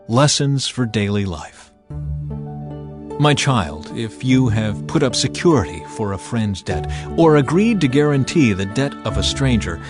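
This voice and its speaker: A man giving a dramatized reading of scripture as a narrator, theatrical and emphatic, in the manner of someone urging a listener. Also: mid-range voice. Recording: music bed under the voice. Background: music.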